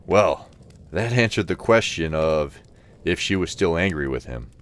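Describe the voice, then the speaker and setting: A man narrating a scripted fantasy story as an expressive audio drama narrator. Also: deep voice, a little slow.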